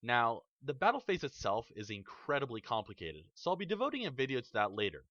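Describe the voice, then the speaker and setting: A man narrating a scripted tutorial on card game rules, explaining them step by step in a measured, methodical way. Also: mid-range voice, wildly swooping pitch, a bit bright, no room sound. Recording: dull, slightly lo-fi dry voice-over.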